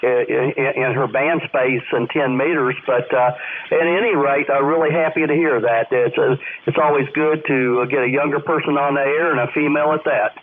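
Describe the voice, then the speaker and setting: A man's voice: medium pitch, fairly even intonation, normal speed. Male ham radio caller heard through a thin, phone-like band with light noise; polite, easygoing, rambling conversational delivery. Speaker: amateur radio operator calling in. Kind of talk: casual on-air radio conversation. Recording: narrow-band noisy amateur radio link.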